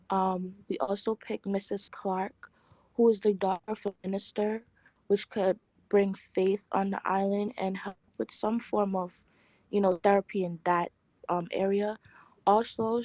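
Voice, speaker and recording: A woman's voice: mid-range voice, mellow, no echo, dull audio. A young woman explaining her group's choices in a class presentation, with fillers. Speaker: student presenter.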